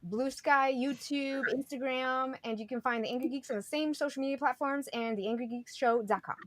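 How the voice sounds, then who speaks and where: A woman's voice: sits high, brisk, no room sound. A woman co-hosting a podcast in casual banter, tossing in short interjections. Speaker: podcast co-host.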